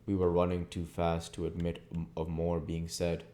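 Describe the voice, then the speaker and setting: Very deep, mellow-voiced male reader. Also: monotone, normal speed. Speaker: audiobook reader, channel host. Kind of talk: scripted narration of a classic novel.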